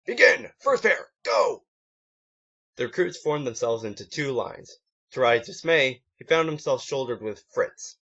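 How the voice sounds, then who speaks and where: A man narrating a story as an amateur reader, halting now and then and stumbling over words. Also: medium pitch, a bit bright. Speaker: amateur fan fiction reader. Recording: muffled lo-fi home recording.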